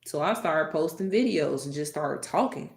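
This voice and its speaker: A woman hosting a live stream, talking casually and directly to her chat, animated and exasperated with commenters. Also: sits low, moderate pace, gravelly, reverberant.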